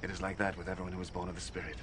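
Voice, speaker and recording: A man acting as an earnest holy teacher in a biblical film, with a deep voice. He declares his teachings with quiet authority. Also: little pitch movement, racing, noisy background, lightly acted. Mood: calm, earnest.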